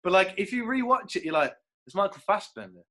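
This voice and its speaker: A young man from Britain chats loosely about acting, jumping between half-finished thoughts and mimicking what people said. His voice sits a bit higher than most men's. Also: animated, brisk, sharp tone. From United Kingdom. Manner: rambling, laughing, acts out quotes. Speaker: actor guest. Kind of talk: casual chat.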